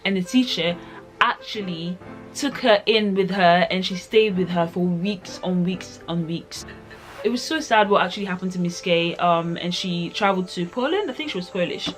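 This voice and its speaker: A young woman talks through a book in a loose, chatty vlog style. She rambles and fills her sentences with "like." Her voice sits on the low side, with faint music in the background. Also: moderate pace, rough recording. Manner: chatty, animated, rambling, full of "like". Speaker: book reviewer. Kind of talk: casual book review vlog.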